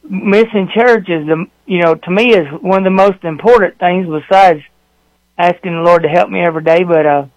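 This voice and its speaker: A man calling in to a radio show, talking sincerely in a halting way with many fillers. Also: high voice, fairly even intonation, no echo, muffled recording. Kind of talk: casual phone-in talk. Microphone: phone line.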